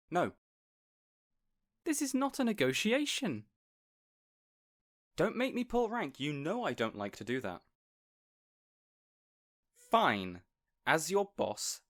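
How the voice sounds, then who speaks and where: Casting: male actor as affable evil mastermind boss for audio roleplay, mid-pitched, chatty, earnest, playfully bossy. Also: fairly bright tone, exaggerated voice. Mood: playfully insistent. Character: affable, eager supervillain boss fond of his assistant. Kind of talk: audio roleplay acting addressed to listener.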